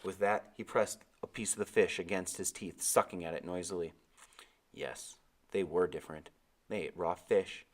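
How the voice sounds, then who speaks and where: A man with a fairly low voice reads a storybook aloud. He delivers it as scripted narration, in the role of the narrator.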